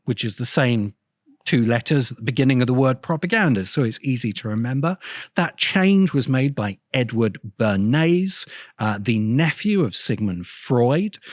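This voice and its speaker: A man hosting a video essay in a mid-pitched voice that rises and falls a great deal. He explains things in a measured, teacherly way and leans on key words. The sound is dry and close, with very little room. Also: dry recording. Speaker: host of a science fiction video essay channel.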